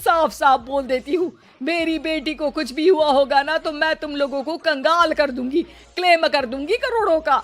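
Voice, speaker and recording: A woman's voice: sits high, moderate pace, hardly any pauses, close to an everyday voice. A woman voicing a greedy, scolding middle-aged mother in a dramatic cartoon moral story. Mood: outraged, threatening. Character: greedy, sharp-tongued middle-aged mother, quick to threaten.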